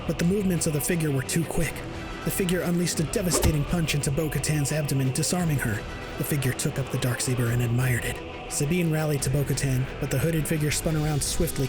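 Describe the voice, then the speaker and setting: A man delivering dramatic scripted narration as the narrator of an audio drama. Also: a little high. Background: dramatic score.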